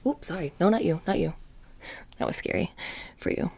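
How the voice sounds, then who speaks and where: A woman playing a playful, menacing witch shopkeeper in a soft-spoken ASMR roleplay, breathy and often whispering, with a casual deadpan delivery. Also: lowish voice, fairly quick. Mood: playful teasing. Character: playful, menacing, petty witch shopkeeper selling haunted trinkets.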